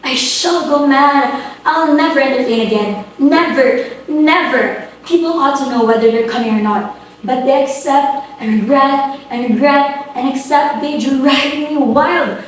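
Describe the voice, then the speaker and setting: In a very reverberant room, some way from the mic, a woman acts out an imperious, easily exasperated society hostess. Her voice is high and theatrical, full of indignant exclamation, as in an amateur staging of a comic play, with faint noise on the recording. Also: unhurried, recorded from a distance. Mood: melodramatic despair. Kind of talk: amateur dramatic reading of a comic stage play.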